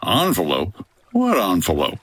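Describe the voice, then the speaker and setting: Low-voiced, wry 1950s skipper. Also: male voice, measured pace, no room sound, full character voice. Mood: unruffled. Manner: deadpan, wry. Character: wry, gruff 1950s boat-skipper adventurer. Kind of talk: old-time-radio-style comedy adventure acting.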